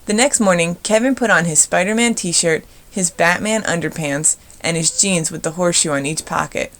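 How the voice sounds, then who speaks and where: A woman with a slightly low voice reads a picture book for children. Her delivery is expressive storybook narration. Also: normal speed. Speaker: storybook reader.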